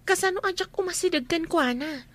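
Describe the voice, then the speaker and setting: A woman's voice: extremely high pitch, fairly quick, a bit bright, no room sound. A young woman playing a meek, polite country housemaid in an Ilocano-accented radio drama. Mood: meek, deferential.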